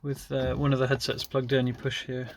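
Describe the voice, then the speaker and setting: A man's voice: mid-range voice, monotone, fairly quick, heavy background noise. A man talking casually and off the cuff as he presents a hands-on DIY demonstration, informal and self-deprecating. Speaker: car hobbyist presenting a DIY video.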